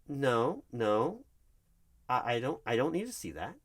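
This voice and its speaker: A man's voice: medium pitch, sing-song, unhurried. A man playing a nervous, flustered recruiting manager in an interview roleplay, stammering and awkwardly polite. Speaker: roleplay performer. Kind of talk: one-sided interview roleplay.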